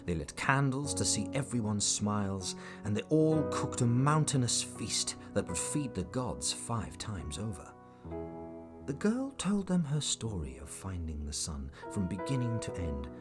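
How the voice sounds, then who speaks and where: A man's voice: fairly low pitch, sing-song, unhurried. A man narrating a scripted fairy tale for a family audio drama as its storyteller. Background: music.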